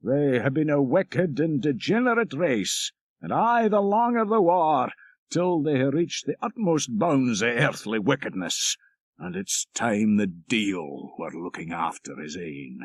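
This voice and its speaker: A man's voice: mid-range voice, very animated, unhurried, no room sound. A man voicing a dour, moralizing old Scottish shepherd in an audiobook story, preachy and emphatic. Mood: scornful.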